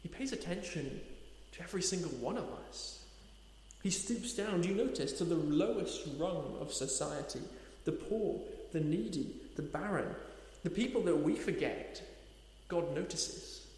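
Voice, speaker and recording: Earnest male preacher, a little higher-voiced, booming room echo. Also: animated, a little mellow. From Nepal. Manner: earnest, slowing for emphasis, rhetorical questions. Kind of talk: sermon. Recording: echoey hall reverb.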